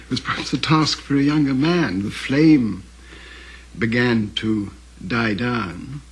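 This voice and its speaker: An older Englishman speaks very hoarsely and at a slow, deliberate pace. His phrasing is scholarly and halting, often circling back to correct itself as he reflects in an interview. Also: mid-range voice. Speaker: interviewee, author's son recalling his father.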